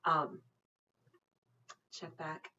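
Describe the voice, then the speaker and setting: A woman narrating a children's novel aloud in an expressive, deliberate storytelling manner. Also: lo-fi sound, a little hiss or hum. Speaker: storytime reader, teacher.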